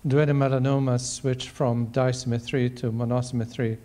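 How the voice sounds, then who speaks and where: A man in his sixties speaks on a panel in a large auditorium. His voice is mellow and of middling pitch. He builds his points deliberately, with halting phrases. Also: flat delivery. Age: 60s. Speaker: panel member, ocular oncologist. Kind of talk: measured panel discussion answer. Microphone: handheld microphone.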